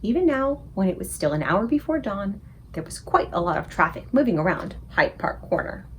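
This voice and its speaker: A woman reading a children's book aloud as an expressive storyteller for a book club. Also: mid-range voice, normal speed, slight background noise, rough recording.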